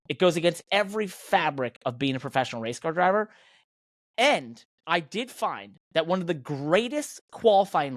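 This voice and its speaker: A man's voice: fairly high pitch, no room sound, studio quality. An American man hosting a motorsport podcast, talking in an emphatic, indignant and opinionated way. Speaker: podcast host and professional race car driver.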